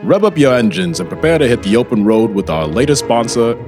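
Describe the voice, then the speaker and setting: A man's voice: mid-range voice, very animated, fairly quick, darkish tone, lightly acted. A man playing a confident 1960s radio commercial announcer, selling with showy enthusiasm. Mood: enthusiastic. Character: booming, confident 1960s male car advert announcer. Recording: music bed behind the voice.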